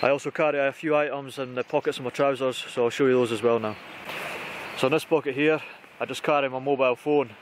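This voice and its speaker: Casting: British male outdoor vlogger, mid-pitched voice, informal and chatty, talking through kit in a noisy outdoor recording. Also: steady tone, fast. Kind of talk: casual gear walkthrough to camera. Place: outdoors in conifer woodland. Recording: outdoor recording with clear background noise.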